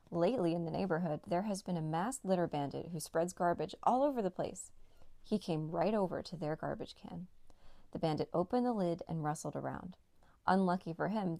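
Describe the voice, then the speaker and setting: A woman's voice: fairly low pitch, creaky, mellow, no room sound. A woman narrating a children's read-along story as a storyteller.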